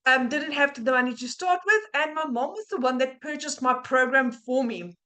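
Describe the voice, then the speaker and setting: Bright high-voiced woman. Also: moderate pace. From South Africa. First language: Afrikaans. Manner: earnest, many ums and restarts. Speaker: guest sharing a testimonial. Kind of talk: personal testimony. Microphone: video call.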